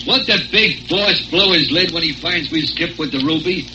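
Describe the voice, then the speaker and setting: An American actor playing a jumpy, slangy crook in a 1940s radio adventure, with tough gangster talk. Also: male voice, fairly high pitch, unhurried, lightly acted. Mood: gloating. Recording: echoey, dull, noisy old radio recording.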